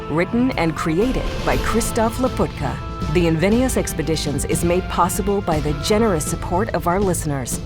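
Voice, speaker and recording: A woman reading scripted narration for an audio drama. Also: on the low side.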